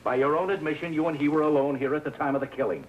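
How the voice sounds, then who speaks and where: An actor playing a calm, probing police lieutenant in a 1950s TV crime drama, speaking in a measured, drily sardonic way. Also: male voice, fairly high pitch, close to an everyday voice. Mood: firm, confronting. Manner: measured, dry, probing questioning. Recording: noisy 1950s TV soundtrack.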